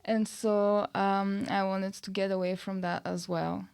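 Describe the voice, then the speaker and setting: Slow, clear-voiced woman. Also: mid-range voice, flat delivery, a little mellow. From Bulgaria. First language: Bulgarian. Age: 30s. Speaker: podcast guest, nutritionist and online coach. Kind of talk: casual interview answers.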